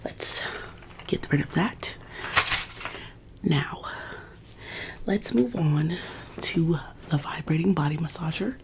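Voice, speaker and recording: A woman's voice: low voice, animated, slow pace, pauses often, a little mellow, a little hiss or hum. A woman whispering breathily and reassuringly in an ASMR roleplay as a massage therapist, close to a studio microphone. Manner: soothing, reassuring. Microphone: close studio condenser microphone.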